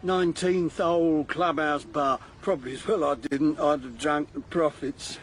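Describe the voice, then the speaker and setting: An actor playing a genial, rambling man in a radio comedy-drama, halting as he gropes for words. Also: on the high side, fairly even intonation, slight background noise, close to an everyday voice. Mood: rambling, nostalgic.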